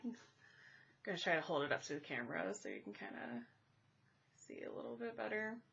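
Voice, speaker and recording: A woman with a congested, slightly raspy voice talks through her art supplies as she draws, thinking out loud. Her voice sits a little low. The home recording sounds dull and muffled, with a faint hiss under it. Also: big pitch swings, a little fast. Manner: chatty, thinking aloud while drawing. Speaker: art vlogger. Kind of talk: casual unboxing and art supply review. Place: home, indoors at a table. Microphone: camera overhead pointed at the paper. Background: furnace and cat noises.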